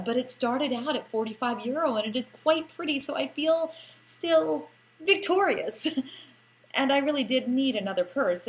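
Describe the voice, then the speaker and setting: A woman reads a first-person story as an audiobook narrator. Her voice sits at a medium pitch, and she delivers the lines in a theatrical, lilting way, lingering over phrases. A faint noise and hum runs under the recording. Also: poor audio.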